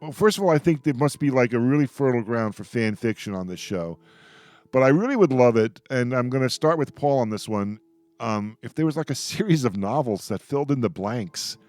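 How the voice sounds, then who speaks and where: Male roundtable host, medium pitch. Effusive fan talk, halting delivery with doubled words. Music in background. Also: animated, a little mellow, no echo. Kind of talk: enthusiastic fan discussion.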